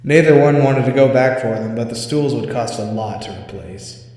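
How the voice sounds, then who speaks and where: A man reads a scripted scary tale as its narrator. His pitch is average for a male voice. The recording is very echoey, with a long hall reverb around every phrase. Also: moderate pace, darkish tone. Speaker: story narrator. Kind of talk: scripted spooky story reading.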